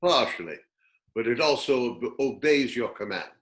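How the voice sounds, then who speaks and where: A man's voice: mid-range voice, animated, moderate pace, natural voice. A man playing a grave, wise old mentor, solemn and instructive, in a scripted reading. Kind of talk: scripted table read acting.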